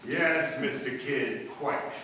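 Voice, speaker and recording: Man playing a suave bright-voiced gentleman, echoey hall. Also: mid-range voice, slow pace. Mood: coolly polite. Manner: polite, dry, self-possessed. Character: suave, cool male society ex-husband. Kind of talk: old-time radio drama acting. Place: live stage performance. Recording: echoey hall, distant mic, faint noise.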